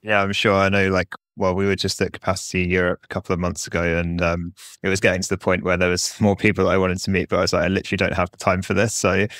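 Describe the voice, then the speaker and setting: A male reporter hosting a podcast, talking quickly and casually with lots of fillers. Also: low-pitched, no echo, clean studio sound. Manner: quick and relaxed, many fillers like "um" and "like". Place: home office near London, dry sound.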